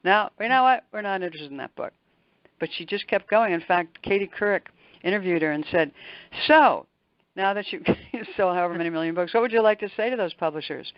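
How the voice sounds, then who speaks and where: A woman's voice: medium pitch, brisk, no echo, lo-fi sound. An older woman giving conversational interview answers as a motivational coach, emphatic and fond of repeating words for stress. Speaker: motivational speaker and executive coach, interview guest.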